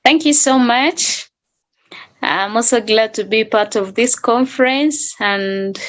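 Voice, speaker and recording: A woman with a Ugandan accent speaking earnestly as a guest on a panel. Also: a little high.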